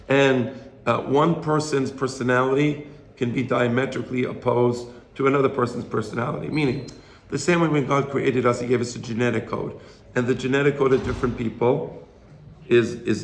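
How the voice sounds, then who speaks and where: A slightly hoarse man giving an informal religious lecture to a study group, explaining and checking in with rhetorical questions. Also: mid-range voice. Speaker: Torah teacher. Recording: roomy echo, faint background noise.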